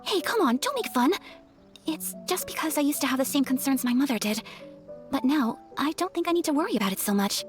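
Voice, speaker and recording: A woman playing a refined, earnest young noblewoman in a video game, speaking politely and formally in a gentle, hesitant way. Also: sits high, animated, a little fast. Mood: shy, resolved. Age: young adult. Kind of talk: video game character acting. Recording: faint music behind the voice.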